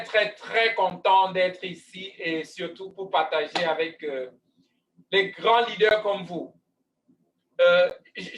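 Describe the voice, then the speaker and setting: A man's voice: high-pitched, a bit bright, echoey room, distant mic, poor audio. A man from Cameroon, speaking as a guest coach in an online talk, hesitant with many fillers and restarts. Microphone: video call.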